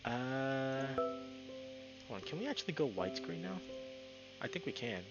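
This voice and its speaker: A man's voice: medium pitch, very animated, normal speed, muffled recording, music in the background. A man live streaming a game, chatting casually and reading the story text aloud, sometimes tripping over words. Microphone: streaming mic with noise filtering.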